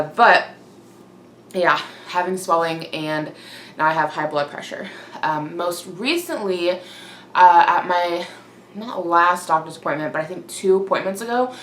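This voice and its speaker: A young woman vlogging in a casual, chatty way, slightly congested, breathy and winded from a cold. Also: fairly low pitch. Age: young adult. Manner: informal, chatty. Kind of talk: casual chat to camera. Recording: roomy echo, clear background noise.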